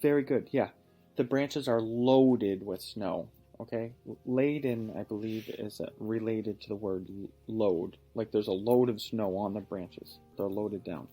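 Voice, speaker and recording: A man reading a picture book aloud to a child, pausing to ask questions and give patient encouragement. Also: fairly low pitch, mellow, slightly rough, soft background music. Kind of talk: storybook read-aloud with teaching asides.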